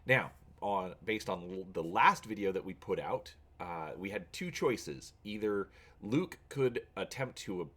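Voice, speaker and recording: A man's voice: lowish voice, animated, a little slow, fairly bright tone. A man hosting a channel, talking casually to viewers and reading an adventure story aloud with drama.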